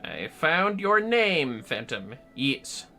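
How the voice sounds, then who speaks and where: Animated man livestreaming with a bright voice. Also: a little high, takes it slowly, faint music behind. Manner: sweary, playfully theatrical. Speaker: livestreaming gamer. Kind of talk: casual livestream gaming chat.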